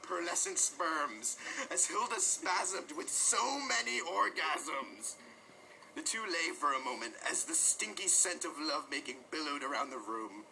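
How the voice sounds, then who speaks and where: A man giving a mock-dramatic, overwrought reading of parody romance fiction, with drawn-out emphasis. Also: on the high side, vocal fry, sharp tone, a little hiss or hum, rough recording. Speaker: reader of a comic dramatic reading.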